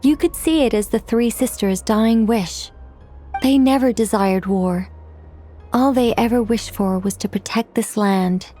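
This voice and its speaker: A woman playing a knowing, composed and analytical character in an anime game, explaining things in a measured way. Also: fairly high pitch, mellow, soft background music. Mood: solemn. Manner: measured, explanatory, matter-of-fact. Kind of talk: anime game dub acting.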